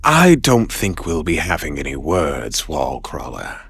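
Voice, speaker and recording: A man playing a cold, guarded male villain in an audio drama, measured and menacing. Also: rumbling low, wildly swooping pitch, a bit bright, voice pushed down, heavily acted. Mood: cool, menacing.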